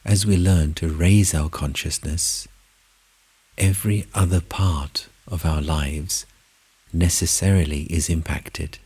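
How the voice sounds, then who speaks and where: A man with a husky, breathy voice narrates a guided meditation in measured, deliberate short phrases with long pauses. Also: deep voice, fairly even intonation, a little mellow.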